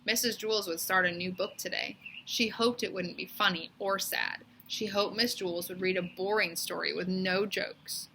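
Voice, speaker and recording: Woman reading a kids book out loud, bright clear-cut teacher voice, some noise behind her. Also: mid-range voice, steady tone, normal speed, hardly any pauses, low-quality recording. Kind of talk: expressive storybook read-aloud narration.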